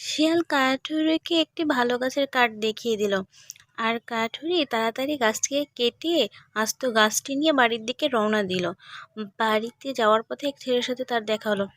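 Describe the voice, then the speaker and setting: High-voiced woman narrating a story. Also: fairly quick, a bit bright, dry recording.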